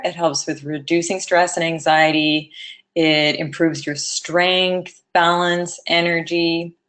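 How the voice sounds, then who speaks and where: Low-voiced woman giving a webinar. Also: a bit bright. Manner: low, lethargic-sounding voice; conversational, frequent ums. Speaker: webinar presenter. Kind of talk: educational webinar talk.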